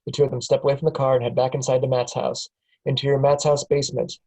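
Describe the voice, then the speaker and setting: A man reading the stage directions aloud at a script table reading, in a plain, steady way. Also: medium pitch, quick pace, low-quality recording. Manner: plain, steady, chuckles at times.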